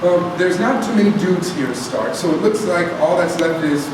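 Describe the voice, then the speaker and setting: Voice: mid-range voice, normal speed, close to an everyday voice. An actor reading a bossy, crude teenage fight club leader in a stage script, brash and slangy. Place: live public reading in a large room. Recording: echoey room from far off, noisy.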